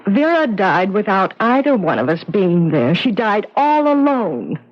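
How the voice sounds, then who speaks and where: A woman's voice: mid-range voice, sing-song, a little slow. An actress playing a distressed, weary young schoolteacher grieving her sister in a 1970s radio drama. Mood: weary, pained. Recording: muffled, faintly noisy 1970s radio recording.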